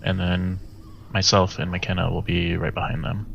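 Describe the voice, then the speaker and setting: Man, low pitch, gravelly, casual game talk, lo-fi, noisy background. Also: level tone, moderate pace, fairly bright tone. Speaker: player in a tabletop game. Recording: dull, noisy recording.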